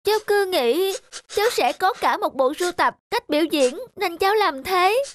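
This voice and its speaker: A woman's voice: very high voice, lively intonation, normal speed, no room sound, studio quality. A woman voicing a remorseful, sheepish young bird in a children's cartoon. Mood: regretful. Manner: sheepish, confessing. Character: remorseful young orange bird who copied others.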